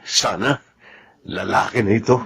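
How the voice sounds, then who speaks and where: An actor playing an eager, devoted young soldier husband in a Filipino radio drama. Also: male voice, on the low side, a little slow, gravelly, a bit bright, husky, heavily acted. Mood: tender, hopeful. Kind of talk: Filipino radio soap opera acting.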